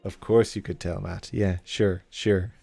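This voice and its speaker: A man with a mild Irish accent chats to his live-stream viewers in a loose, rambling way, full of jokes and restarts. His voice sits on the lower side. Soft music plays faintly behind him. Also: steady tone, slow pace, creaky, husky, no echo. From Ireland. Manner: chatty, rambling, jokey, self-interrupting. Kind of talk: casual chat with live chat. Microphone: good desk mic at computer.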